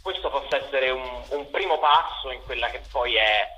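A man's voice: medium pitch, moderate pace, bright, forceful tone, a little hiss or hum, poor audio. A young man answering interview questions as a theatre director, in an earnest, wordy and articulate way. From Italy.

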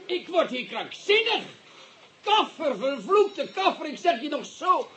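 An actor playing an irascible, sarcastic police commissioner in a radio drama, husky, grumbling and stammering when worked up. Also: male voice, very high voice, big pitch swings, measured pace, a crowd in the background, exaggerated voice. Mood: exasperated.

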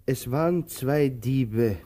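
An actor in a German radio play playing a talkative, brooding tramp, pompous yet fond, with theatrical, halting musing. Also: male voice, mid-range voice, mellow, lightly acted. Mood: earnest, musing. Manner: theatrical, musing, mock-formal, halting for effect.

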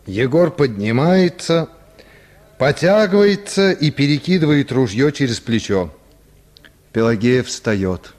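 Radio play narrator: man, mid-range voice, slow scripted literary reading. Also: mid-range voice, lively intonation, darkish tone.

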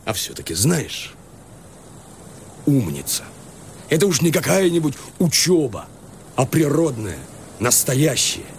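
An actor playing an easygoing, expansive country landowner in a radio play, with folksy, exclamatory speech. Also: male voice, medium pitch, very animated, unhurried, pauses often, slight background noise. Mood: earnest, folksy. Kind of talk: Russian radio play acting.

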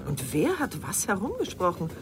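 A woman's voice: lowish voice, huge pitch swings, moderate pace. An actress playing a cool, evasive middle-aged woman in an intimate radio drama, guarded and dryly teasing. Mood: defensive. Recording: noisy ambience behind dialogue.

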